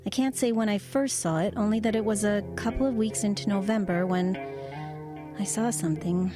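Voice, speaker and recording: An actress playing a wry, low-key woman driver who tells of eerie happenings in a conversational, hesitant way in an audio drama. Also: steady tone, brisk, over music, natural voice. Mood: reflective. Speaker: lead actress and narrator. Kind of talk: audio drama narration and dialogue.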